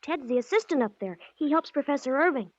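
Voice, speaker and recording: A woman's voice: sits high, lively intonation, quick pace, no room sound. A woman playing an earnest, secretive small-town American boy with hesitant kid slang in a 1940s radio drama. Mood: earnest, worried. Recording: dull old 1940s radio recording.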